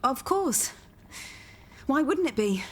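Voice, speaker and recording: An uneasy, guarded daughter in a radio soap. She talks slowly and hesitantly in a slightly high voice, over faint background noise. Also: big pitch swings, fairly bright tone, exaggerated voice.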